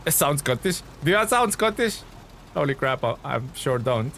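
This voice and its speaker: A man from Lithuania, a game streamer chatting casually with viewers in a relaxed, rambling way. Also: on the high side, moderate pace, a little hiss or hum. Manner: relaxed, chatty, many fillers and restarts. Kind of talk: casual live-stream chat reading viewer comments.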